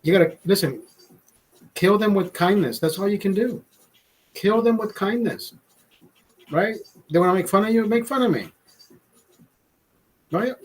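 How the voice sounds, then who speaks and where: A man's voice: high voice, lively intonation, unhurried, pauses often, rough recording. A man in his forties talking casually on a livestream, rambling and profane, repeating himself as he drinks beer and gives pep talks. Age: 40s. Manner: loud emphatic motivational rants. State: drinking beer, a bit tipsy.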